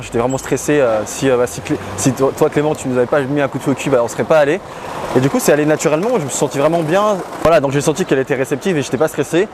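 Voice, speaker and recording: Young man, very quick pace, casual slangy storytelling, faint outdoor traffic noise. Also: medium pitch. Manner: informal, slangy. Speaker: coaching student giving a testimonial. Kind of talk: casual anecdotal chat.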